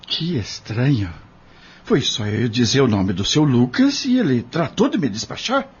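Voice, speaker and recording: An actor playing a humble, wary farm worker in an audio drama, with husky, plain rustic speech. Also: male voice, medium pitch, very animated, measured pace, background noise. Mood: puzzled, brooding. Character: humble dismissed male farmhand, wary and indignant. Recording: dull, lo-fi audio drama recording.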